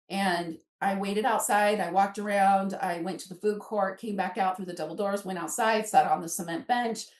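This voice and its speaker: An American woman in her fifties telling a personal story as a podcast guest, rambling along and acting out snatches of dialogue. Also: medium pitch. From Alaska, United States. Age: 50s. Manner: rambling, quotes dialogue as she goes. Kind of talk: animated personal storytelling in a conversation. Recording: echoey room, distant mic.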